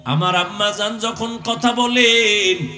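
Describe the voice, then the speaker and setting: A man with a Bangladeshi Bengali accent preaching an emotional Islamic sermon, telling stories in a plaintive, drawn-out, half-chanted singsong. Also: high-pitched, sharp tone, faint music behind. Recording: roomy, echoing sound system.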